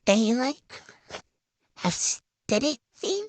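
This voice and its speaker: A woman's voice: on the high side, sing-song, drawn-out words, dry recording. A woman giving a casual, chatty show-and-tell voice-over in a hesitant, unscripted way that tends to trail off. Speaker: vlogger.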